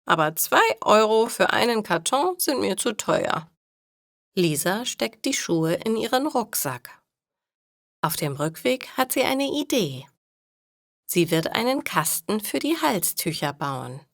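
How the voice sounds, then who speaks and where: A woman narrating scripted stories for language learners in a deliberate, clearly phrased way. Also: mid-range voice, big pitch swings, fairly bright tone, dry recording, clean studio sound. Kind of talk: scripted narration, educational storytelling.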